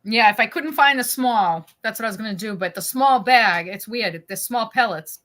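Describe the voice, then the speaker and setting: A woman's voice: fairly high pitch, normal speed, fairly bright tone. A woman from New England with a New England accent, co-hosting a casual chat, laughing easily and trailing off mid-sentence. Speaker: co-host.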